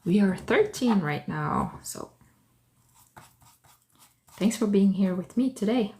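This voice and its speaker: A woman's voice: mid-range voice, animated, slow pace, a little mellow. A woman whose first language is French, chatting casually on a livestream from her art studio, greeting viewers by name and thinking aloud as she works. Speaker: artist hosting a livestream. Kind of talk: casual livestream chat while working.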